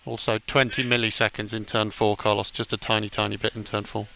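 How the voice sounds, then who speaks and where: A man debriefing a driver over team radio in a calm, technical way. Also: on the low side, a little fast, vocal fry. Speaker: race engineer.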